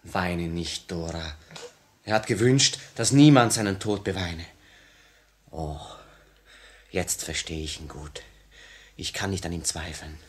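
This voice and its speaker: An earnest revolutionary with a very low-pitched voice. He is solemn, heartfelt and devoted, declaiming in the style of 1950s German radio drama. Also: slow, pauses often, slight background noise. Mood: fervent. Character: earnest, emotional male revolutionary, once fearful, now devoted.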